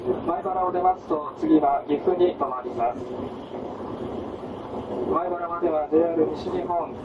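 Male conductor, formal and courteous, slightly high-pitched, heard from off over onboard speakers in heavy noise. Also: fairly even intonation, measured pace. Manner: polite, formal. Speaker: train conductor. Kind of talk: formal onboard train announcement. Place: inside a moving train carriage, over speakers. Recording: noisy distant train PA, poor audio. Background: loud train and ventilation noise.

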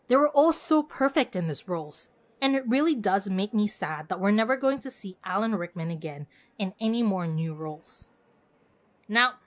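Expressive woman podcaster, very lively intonation. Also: mid-range voice. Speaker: podcast host. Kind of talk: scripted solo podcast review.

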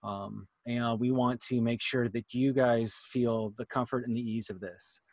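Male, middle-aged, American. Informal online presenter, mid-pitched voice, dry close sound. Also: fairly even intonation, unhurried, darkish tone, no room sound. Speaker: presenter, children's playwright and drama teacher. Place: indoor room at home, video call.

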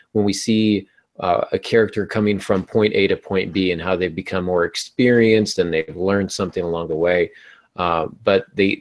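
A man in his thirties hosting a podcast, explaining things from a home office on a video call. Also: deep voice. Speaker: podcast host. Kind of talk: explanatory podcast talk on writing.

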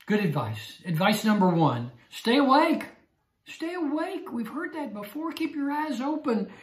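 An older man teaching a Bible study class, slow and emphatic, repeating his key phrases. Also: very high voice, very animated, echoey room. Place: small room indoors. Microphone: lapel mic.

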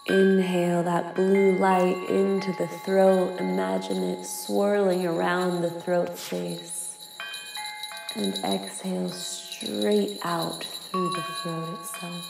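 A woman guides a meditation very slowly, in an even, lowish voice with a crackle of fry. She is soft and soothing, leaving long pauses. Her words hang in a long echo over singing bowls and music. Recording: long echoing reverb. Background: singing bowls and ambient music.

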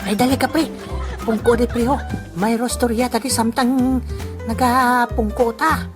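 A performer voicing a friendly elderly village man in a comic audio drama, chatting in a halting, repetitive, rambling way. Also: medium pitch, fairly even intonation, lightly acted. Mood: friendly, relieved. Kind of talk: amateur comedy audio drama dialogue. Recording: music playing clearly behind the voice.

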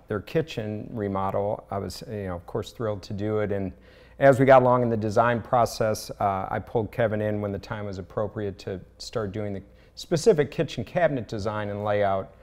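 A man's voice: fairly low pitch, a little mellow. A middle-aged man with a Southern US accent, a contractor explaining a remodel in a casual interview, with hesitant fillers. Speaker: building contractor, guest. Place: large home kitchen, indoors.

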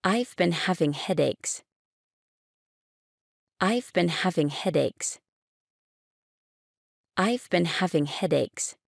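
A woman reading short English phrases for a language lesson, saying each one three times, slowly and clearly. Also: on the low side, lively intonation, no echo, clean studio sound. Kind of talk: slow repeated phrase reading.

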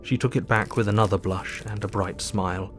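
An actor playing a sober, reflective Victorian doctor, narrating his diary in a measured, formal way for an audio drama. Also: lowish voice, fairly even intonation, fairly bright tone, soft background music, close to an everyday voice. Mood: resolute.

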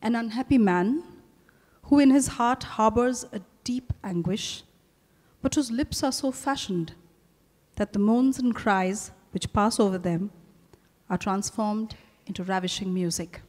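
A woman's voice: mid-range voice, measured pace, a little mellow. Female interviewer, middle-aged, Indian accent, articulate and formal, mid-range voice through a mic in a reverberant ballroom. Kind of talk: formal on-stage interview introduction. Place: hotel ballroom stage. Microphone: handheld microphone. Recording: echoey ballroom with long reverb.

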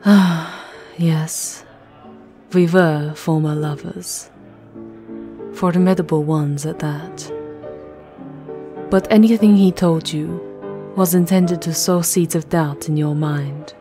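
A woman playing an elegant vampire countess, soft, intimate and courtly, in an ASMR roleplay. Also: low voice, steady tone, takes it slowly, long pauses, music in the background. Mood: earnest, wary. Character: elegant vampire countess, tender yet formidable lover.

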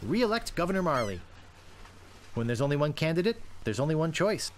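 An actor playing an eager, naive young wannabe pirate in a video game, earnest, polite and boyish. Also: male voice, on the high side, big pitch swings, fairly quick, a little hiss or hum. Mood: wry.

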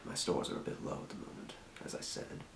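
A man playing a calm fantasy potion shopkeeper in a breathy, measured, courteous whisper for an ASMR role play. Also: echoey room, a little hiss or hum, lightly acted. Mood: calm, helpful. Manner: measured, murmuring, courteous merchant patter. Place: small indoor room.

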